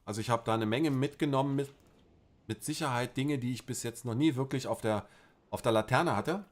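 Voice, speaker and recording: A man's voice: mid-range voice, brisk. A middle-aged man with a Berlin accent chatting casually on a livestream, rambling with many fillers and restarts. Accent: Berlin German. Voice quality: rambling, filler-heavy, colloquial. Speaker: livestream host.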